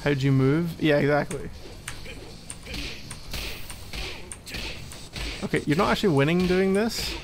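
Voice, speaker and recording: A man playing a needy young gamer boyfriend in an ASMR roleplay, halting and teasing, with playful exasperation as he explains a game. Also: fairly high pitch, background noise, close to an everyday voice. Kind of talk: ASMR boyfriend roleplay, improvised-sounding casual chat.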